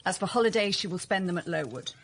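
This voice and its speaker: An actress playing a cold, spiteful Victorian aunt in a radio drama, with a haughty, clipped, disdainful manner. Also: female voice, lowish voice, animated, fast talker, slight background noise. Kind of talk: period radio drama acting.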